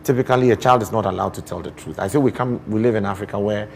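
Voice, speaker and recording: A Ghanaian man explaining things as a guest in a TV interview, expressive and deliberate, often posing rhetorical questions. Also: on the low side, vocal fry, a little hiss or hum. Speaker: guest poet and storyteller.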